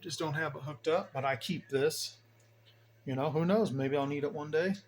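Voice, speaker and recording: A man's voice: on the high side, normal speed. Casual man, noisy home recording. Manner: folksy, rambling, thinking aloud. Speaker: hobbyist radio operator giving a station tour. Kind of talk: casual unscripted equipment walkthrough. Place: indoors at home radio bench. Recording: noticeable background noise, home video.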